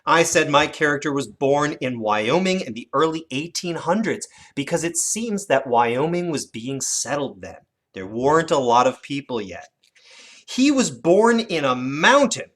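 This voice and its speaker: A teacher giving an instructional lesson for children, with animated, expressive storytelling emphasis. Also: medium pitch. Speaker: elementary teacher.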